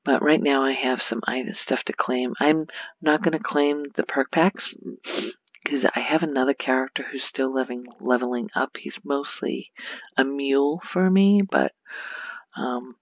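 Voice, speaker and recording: A woman giving a soft-spoken ASMR game tour, hushed and often slipping into a whisper, breathy and creaky, rambling unhurriedly as she thinks aloud. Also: a bass voice, a little slow, dry recording, dull audio. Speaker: gaming ASMR host.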